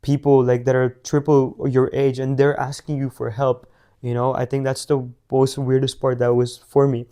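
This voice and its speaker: Give me a teenage man from India, rambling and flat-voiced, full of fillers. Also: mid-range voice, normal speed. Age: teens. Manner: rambling, many fillers and restarts. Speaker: guest, young business founder. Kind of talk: casual interview answers.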